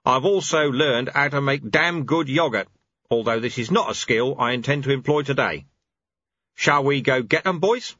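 A man's voice: medium pitch, normal speed, pushed and bright, dry recording, dull audio, pitched above the performer's own voice. A man voicing a cool, wry horseman of chaos who speaks pleasantly and carefully.

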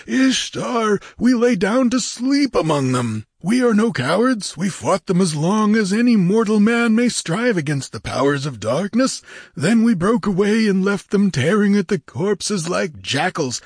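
A man's voice: sits high, big pitch swings, measured pace, breathy edge, no room sound, studio quality, pitched above the performer's own voice, heavily acted. A man playing a weary, superstitious corsair in a fantasy audiobook, desperate, wary and urgent. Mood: fearful. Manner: urgent, clamoring. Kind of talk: dramatic fantasy audiobook character.

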